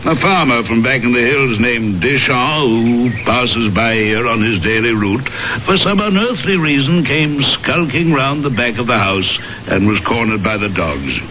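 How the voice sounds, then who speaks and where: A man's voice: medium pitch, unhurried, sharp tone. A man playing a big English baronet in a radio drama, suave and genial with menace beneath. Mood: smooth, suspicious. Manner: suave, genial, pointedly probing. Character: huge, vigorous English baronet, charming yet menacing. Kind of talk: 1970s radio drama acting. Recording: muffled old radio broadcast, faint noise.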